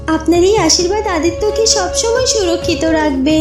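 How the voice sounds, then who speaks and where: A woman playing a gentle, devoted young princess and mother in a cartoon fairy tale, speaking tenderly and respectfully. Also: very high voice, moderate pace. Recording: echoey, music underneath.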